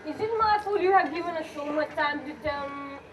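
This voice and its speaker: A grumbling but hospitable family father played by a young woman in an amateur stage play. The voice is high, and it is picked up from a distance over clear background noise. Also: a little fast, close to an everyday voice. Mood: hearty, curious. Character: grumbling, hospitable middle-aged father and husband. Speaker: student actor in a school play. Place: school stage, recorded from a distance. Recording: distant noisy school stage recording.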